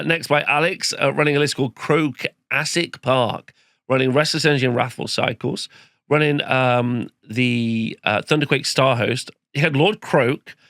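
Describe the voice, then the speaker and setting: A man hosting a casual rundown of game results, his voice croaky as it comes back, rambling with fillers. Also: mid-range voice, a bit bright, no room sound, studio quality. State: croaky, recovering lost voice. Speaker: host. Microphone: home studio microphone.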